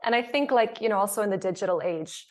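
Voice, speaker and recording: A woman's voice: mid-range voice, fast. Woman reflecting aloud in a panel chat. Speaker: panelist, theatre artist. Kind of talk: casual panel discussion.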